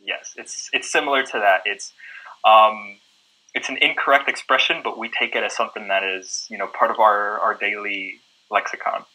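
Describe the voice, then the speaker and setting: A man with a Southern American accent speaks in an easygoing, chatty way. His voice is very bright, and he often checks in with a quick "right?". He sounds like a teacher explaining things in an interview. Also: lowish voice, steady tone, low-quality recording. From southern United States. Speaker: guest English teacher. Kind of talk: casual interview answers.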